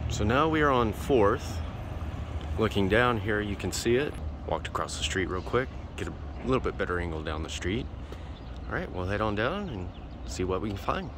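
Low-voiced man on a noisy street. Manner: easygoing, rambling with fillers. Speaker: vlogger. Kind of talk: casual walking tour narration. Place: outdoors on a city street. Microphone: handheld camera while walking. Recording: outdoor street noise and traffic. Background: street traffic.

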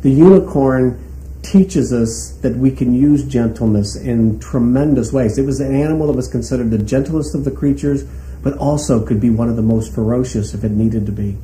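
A man's voice: mid-range voice, normal speed, mellow. A man explaining his ideas as an interviewed author and teacher, in unscripted talk. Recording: echoey room with faint noise.

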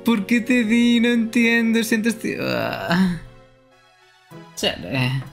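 A man's voice: sits high, takes it slowly, creaky. A young man giving casual live gameplay commentary as a streamer. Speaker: game streamer. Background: loud game music.

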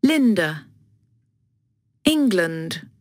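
A woman voicing a friendly young schoolboy in a textbook dialogue, speaking slowly and clearly. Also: lowish voice, sing-song, a little mellow, no room sound, studio quality, full character voice. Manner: slow, clearly spoken greetings.

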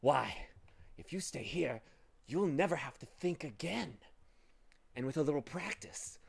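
A man acts out a villainous demon of petty tasks from a storybook. He is theatrical, sour and falsely coaxing. His slow, weighty delivery swings widely in pitch. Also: sing-song. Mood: sly coaxing. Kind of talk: storybook character voice.

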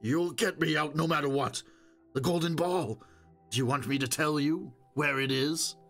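A man voicing a wounded, wheedling old stalker in an audiobook, boastful and desperate, with a hoarse, strained, pleading voice. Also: a little high, animated, a little slow, a bit bright, faint music behind, voice pushed up, exaggerated voice. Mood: anguished, stubborn. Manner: pleading, moaning, insistent.

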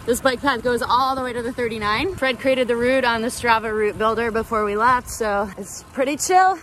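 A woman's voice: a little high, normal speed, a bit bright, slight background noise, poor audio. A woman in her thirties narrating a casual cycling vlog outdoors, upbeat and chatty, recorded on an action camera. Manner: upbeat, chatty, tour-guide commentary. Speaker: vlogger. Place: outdoors on a mountain road, riding a bike. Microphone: action camera on the bike.

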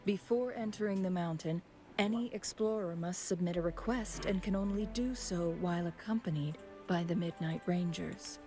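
Woman acting a composed young lady adviser, lowish voice, formal, gentle, observant, anime game, background music. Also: normal speed, natural voice. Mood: courteous, composed. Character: poised, courteous young noblewoman adviser, calm and observant.

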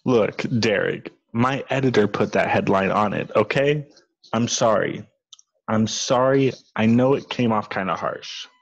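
A man's voice: lowish voice, a little slow, gravelly. A man playing a persistent, polite magazine reporter in a comedy table read. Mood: apologetic, coaxing. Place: indoors at home on a video call. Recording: slightly dull video call audio.

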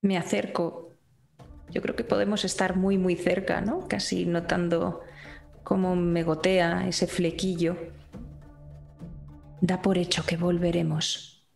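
A woman's voice: fairly low pitch, level tone, slow pace, gravelly, long pauses, darkish tone. A woman playing a tabletop roleplaying game, speaking in character, in a roomy recording with music behind. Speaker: player.

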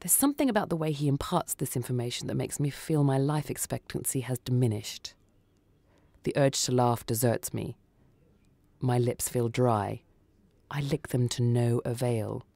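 A narrator tells a short story in the first person with a dramatic delivery. The voice sits at a middling pitch. The sound is very dry. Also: moderate pace, gravelly, warm tone, no echo. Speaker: story reader.

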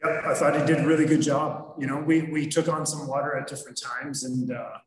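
A middle-aged man, a hockey head coach, answering postgame questions over a video call in a measured, analytical way with frequent fillers. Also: a little high, fairly even intonation, a little hiss or hum. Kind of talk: postgame press conference answers. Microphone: video call webcam. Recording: roomy echo.

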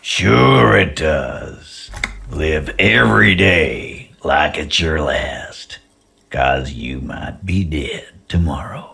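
Very deep, gravelly union tough. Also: male voice, fairly bright tone, exaggerated voice. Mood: defiant, weary. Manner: slow, blunt, profane. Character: gruff, bruised union tough, grieving but proud. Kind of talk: video game character acting. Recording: music behind the voice.

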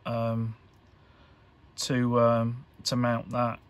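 A man giving an informal hands-on tutorial, talking in a halting, hesitant way as he thinks aloud. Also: mid-range voice, completely flat delivery. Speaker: electronics hobbyist presenter.

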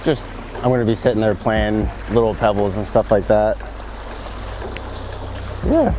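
A man's voice: fairly low pitch, measured pace, noisy background. Laid-back man at an outdoor build site. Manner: relaxed, filler-heavy, enthusiastic. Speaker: pond builder. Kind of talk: casual on-site walkthrough. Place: outdoor backyard construction site.

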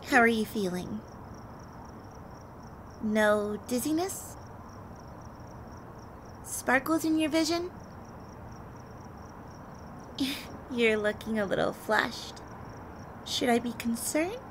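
A woman's voice: fairly high pitch, a little hiss or hum. A woman playing a flirty, teasing, confident vampire in an intimate audio roleplay spoken to the listener. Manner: playful, teasing, unhurried delivery with soft breathy asides.

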